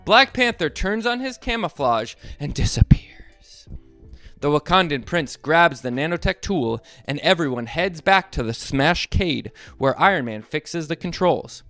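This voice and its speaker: A man reading a storybook aloud to children in a playful, animated way, asking them to join in. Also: fairly high pitch, measured pace, no echo, faint music behind.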